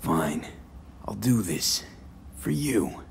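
A man's voice: lowish voice, big pitch swings, drawn-out words, vocal fry, a little hiss or hum, heavily acted. A man voicing a tough but loyal young street mercenary in a video game, giving short, guarded replies. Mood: resigned.

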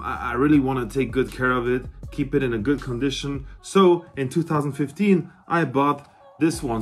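A man in his thirties speaking casually and excitedly to camera like an informal vlogger, with some swearing. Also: on the high side. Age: 30s. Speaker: vlogger, horror collector. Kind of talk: casual show-and-tell review to camera. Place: small cluttered room indoors.

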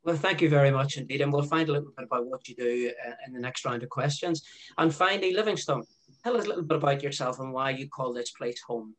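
A man in his sixties hosts a panel discussion over a video call. He speaks into a headset from a home study in an ordinary mid-pitched voice. His introductions are steady and measured. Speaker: panel chair. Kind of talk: moderating an online panel.

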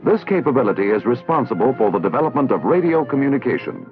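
Casting: American man for vintage army instructional narration, measured and didactic with animated intonation, through tinny old film audio. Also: medium pitch, music in the background. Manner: formal, measured, didactic enunciation. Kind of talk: scripted instructional narration, 1960s military training film. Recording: tinny, band-limited 1960s film soundtrack.

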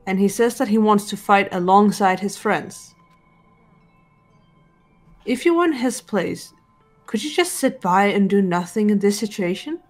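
A woman playing a composed, serious teenage girl in an anime game fan dub, speaking in a measured, deliberate way. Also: medium pitch, animated, a little mellow, faint music behind. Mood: serious, resolute. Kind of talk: visual novel fan dub voice acting.

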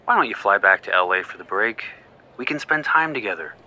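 An actor playing an ingratiating ex-boyfriend in an audio drama, smooth and eager to please. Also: male voice, lowish voice, lively intonation, a little fast, sharp tone, heavily acted, poor audio. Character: ingratiating ex-boyfriend, adult man.

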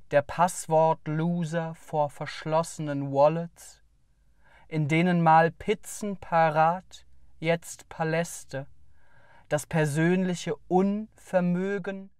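A poet reading their own literary work in a measured, deliberate manner with pauses between phrases. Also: medium pitch, clear, resonant tone, dry recording, clean studio sound. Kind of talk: literary poetry reading, spoken word.

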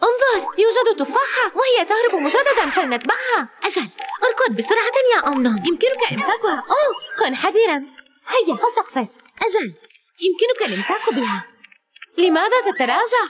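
A woman's voice: very high voice, sing-song, fast talker, fairly bright tone, a little hiss or hum. A woman narrating a children's educational cartoon in an exclamatory way, speaking directly to a character.

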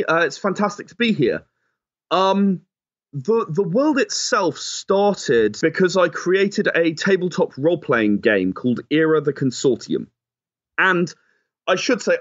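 A man giving conversational, explanatory interview answers, often softening his points with "sort of". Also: on the high side, sing-song, a little slow, muffled recording. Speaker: game designer and writer, interview guest.

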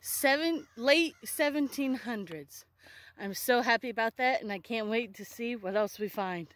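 An excited woman in her thirties talks to viewers while walking a farm field, often correcting herself as she goes. Her voice sits a little high and sounds dry and close, with little room sound. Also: unhurried, no echo. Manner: excited, chatty, self-correcting. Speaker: metal detecting vlogger. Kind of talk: casual outdoor vlog narration. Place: open farm field outdoors. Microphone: handheld or body camera close up.

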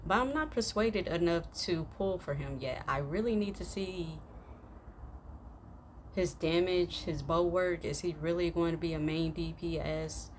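A young woman host giving casual, slangy, halting reaction commentary that often repeats itself. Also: on the low side. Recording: noisy room recording.

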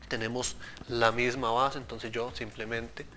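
A man's voice: mid-range voice, level tone, unhurried, sharp tone. A man, a product engineer explaining things earnestly in a TV studio interview on a lapel mic. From Central America. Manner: explanatory, earnest, addresses host directly.

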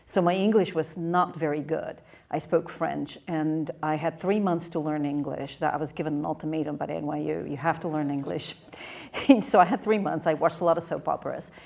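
Gravelly low woman with a Bulgarian accent. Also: steady tone, fairly quick, a little mellow. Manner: wry, laughs through her stories. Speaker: production designer on a panel. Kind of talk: casual storytelling on a panel. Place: theater stage before an audience. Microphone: handheld mic.

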